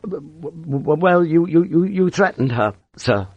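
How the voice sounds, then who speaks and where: A man's voice: a little high, expressive, brisk, no room sound, highs cut off, voice pushed up. A man voicing a timid young army lieutenant, hesitant and deferential, with a nervous stammer, in an audiobook story. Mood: timid, flustered.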